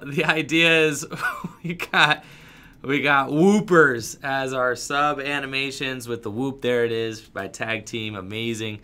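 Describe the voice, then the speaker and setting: A man with a raspy voice giving upbeat live commentary on a card game tournament and hosting a stream. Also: medium pitch, bright, forceful tone. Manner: upbeat, hyped streamer energy. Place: small game store studio.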